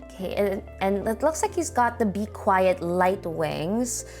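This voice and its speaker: A woman's voice: mid-range voice, lively intonation, a little slow, darkish tone, faint music behind. A woman with a Filipino accent, hosting and chatting casually as she looks over viewers' setups. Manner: chatty, upbeat, thinks aloud. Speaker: host reviewing viewer gaming setups. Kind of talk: casual commentary.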